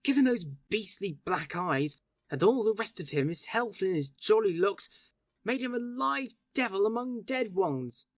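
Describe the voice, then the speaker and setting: A woman voicing an impassioned young male detective poet in an audiobook, excitable and declamatory. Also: medium pitch, measured pace, no room sound. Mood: ecstatic. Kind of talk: audiobook character voice.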